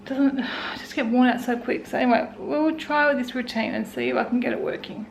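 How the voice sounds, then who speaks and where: An Australian woman in her forties with a croaky voice, talking casually and wearily through her day at home in a vlog. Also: sits high, fairly even intonation, fairly quick, slight background noise. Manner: tired, rambling, self-talking. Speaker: vlogger. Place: indoors at home.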